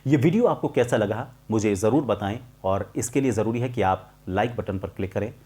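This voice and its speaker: A middle-aged man explaining a lesson to viewers as a voice coach, in a deliberate, emphatic manner with clear pauses. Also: fairly low pitch, a little mellow. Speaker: voice-over artist and voice coach hosting a tutorial.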